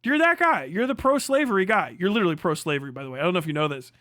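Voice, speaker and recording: High-pitched man on a profane, combative rant. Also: lively intonation, racing, bright, forceful tone, no echo, studio quality. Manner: emphatic repetition of phrases. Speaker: livestreamer reacting to a video. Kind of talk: heated off-the-cuff commentary.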